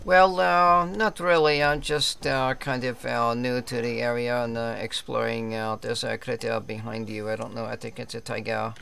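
A man's voice: medium pitch, level tone, normal speed, vocal fry, few pauses, bright, forceful tone, clean tone. A man streaming a game, roleplaying a polite, hesitant character with a put-on French accent and many fillers. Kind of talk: in-character roleplay chat and live gameplay talk.

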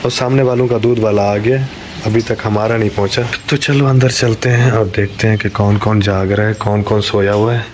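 A man's voice: fairly low pitch, steady tone, normal speed, a little mellow. A man in his forties narrating a family vlog to a handheld phone camera, chatting his way through every step of the day. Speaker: family vlogger. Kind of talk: casual running vlog commentary. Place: in a car, at home and in a supermarket. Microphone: phone camera held at arm's length. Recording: noisy handheld phone audio.

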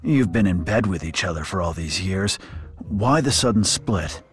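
A gruff rabbit hero of an action game. He is stern and sparing with words, low in pitch, with music clearly behind him. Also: expressive, normal speed. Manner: curt, stern, stoic. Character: gruff, terse rabbit war veteran hero. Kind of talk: video game cutscene voice acting.

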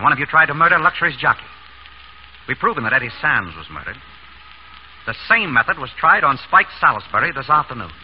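An actor playing a wry, decisive doctor turned amateur detective in a crisp, authoritative 1930s radio drama style. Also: male voice, a little high. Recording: dull, noisy 1930s radio recording.

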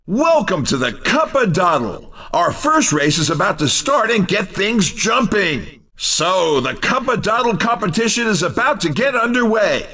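Manic video game race commentator, very high-pitched, piercingly bright, folksy, exuberant showman patter. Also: far from the mic, full character voice. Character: manic, over-excited male race announcer. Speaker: racing commentator.

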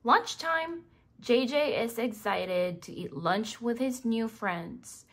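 Casting: woman, children's read-along narrator, high voice, very animated delivery, addresses the child listener. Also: slow pace. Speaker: children's book reader. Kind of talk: scripted read-aloud narration for young children.

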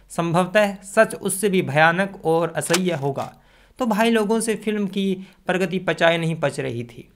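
A man in his twenties with a slightly high voice gives scripted opinion commentary. He speaks emphatically and argues his points, close to a desk microphone in a small indoor setup. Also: fairly bright tone. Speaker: video commentator. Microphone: desk condenser microphone, close.

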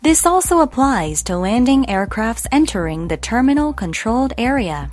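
A woman narrates an educational explainer in a high voice with very animated intonation. She speaks deliberately and enunciates each phrase, with music playing softly behind her.

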